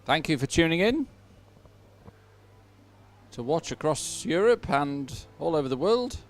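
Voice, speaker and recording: A man giving live sports commentary in a chatty, somewhat halting announcing style. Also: on the high side, sing-song.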